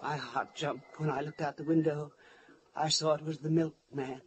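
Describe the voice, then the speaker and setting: A woman's voice: deep voice, fairly even intonation, normal speed, natural voice. An actress playing a frightened older woman in an old radio thriller, anxious, pleading and placating. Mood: tense narrating. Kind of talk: 1940s-50s radio thriller acting. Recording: noisy old-time radio recording.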